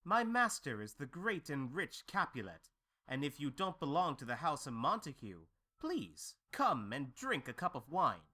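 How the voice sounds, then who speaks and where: A man playing a humble, polite, comically flustered servant in an audio drama, plain-spoken and animated. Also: on the high side, a little slow, exaggerated voice. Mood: cheerful, proud. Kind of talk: audio drama acting of modernized Shakespeare.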